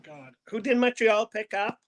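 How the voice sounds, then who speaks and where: A man who is a guest on a fan show, chatting casually and haltingly as he searches for words. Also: sits high, animated. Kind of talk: casual call-in chat.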